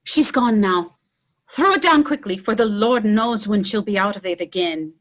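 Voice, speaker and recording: A woman's voice: medium pitch, lively intonation, moderate pace, a bit bright, close to an everyday voice. A woman playing a capable, scolding young peasant daughter in a stage play, earnest. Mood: urgent, concerned. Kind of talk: stage drama read as virtual play.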